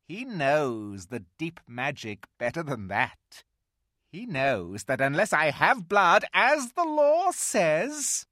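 An imperious witch queen from a storybook reading, voiced by a man, cold and shrill as she lays claim to what she thinks is hers. The voice sits high above his own and swings widely in pitch. It is recorded close and dry, with no room sound. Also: sharp tone, no room sound, heavily acted. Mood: savage. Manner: shrill and commanding, rising when angry.

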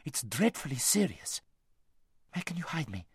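A fierce young noble girl from a storybook, played by a man, urgent and serious. The voice is raised in pitch and kept hushed and breathy, hissing with intensity. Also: sing-song, normal speed, no echo, clean studio sound, exaggerated voice. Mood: anxious, serious. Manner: hissed, breathy urgent whispering. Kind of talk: audiobook character voice.

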